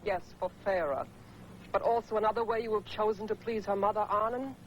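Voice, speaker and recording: A woman's voice: medium pitch, fairly even intonation, brisk, highs cut off, slight background noise, lightly acted. An actress playing a jealous queen and mother in a 1950s epic film, speaking with cutting scorn. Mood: scornful.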